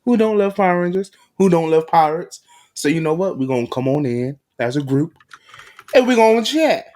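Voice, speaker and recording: A man's voice: fairly high pitch, animated, normal speed, a little mellow. A man hosting a podcast interview, chatting casually with slangy, informal phrasing. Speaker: podcast host.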